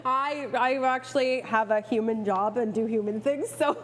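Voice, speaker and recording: A woman's voice: a little high, moderate pace, slight background noise. A woman chatting casually as a guest expert in an interview, playful, enthusiastic and informal. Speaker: guest expert, pet rat owner and rescuer.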